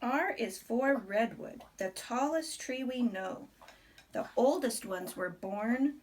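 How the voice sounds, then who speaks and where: A woman reading a rhyming picture book aloud to a small child in an expressive, deliberate storybook manner, with chatty asides. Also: fairly high pitch, a little hiss or hum.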